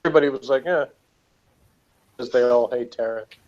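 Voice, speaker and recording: A man's voice: medium pitch, fairly quick, darkish tone, lo-fi sound. A man chatting casually as co-host of a review show, hesitant and grumbling as he gives critical opinions. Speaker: podcast co-host reviewing television.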